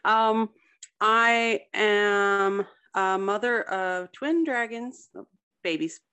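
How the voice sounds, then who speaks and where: A woman's voice: medium pitch, very slow, sharp tone, clean tone. A woman in her thirties, chatting casually and light-heartedly as an interview guest. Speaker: guest, fiction writer.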